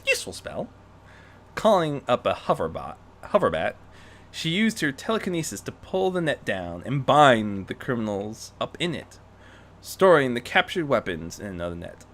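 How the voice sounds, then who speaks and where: A man reads fiction aloud as narrator in a bright, forceful voice. His pitch is very lively, rising and dropping widely across phrases. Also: moderate pace. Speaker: fan fiction reader and narrator. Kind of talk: animated story narration.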